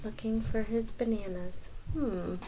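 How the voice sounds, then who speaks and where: A woman reading a children's picture book aloud as a storyteller, expressive, with dramatic pauses and emphasis. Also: fairly high pitch, very noisy.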